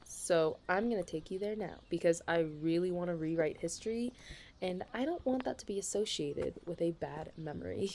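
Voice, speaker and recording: A woman's voice: fairly low pitch, normal speed, creaky, mellow, a little hiss or hum. A young woman playing a chatty, dramatic best friend in a casual ASMR audio roleplay. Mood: chatty, exasperated. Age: young adult. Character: chatty, caffeine-loving female best friend, self-deprecating about dating.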